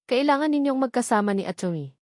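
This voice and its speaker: A woman narrator playing a polite, formal assistant in an audio drama, speaking deliberately. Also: a little high, animated, no echo, clean studio sound, voice pushed up, natural voice. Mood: businesslike. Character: polite assistant briefing a pilot by phone.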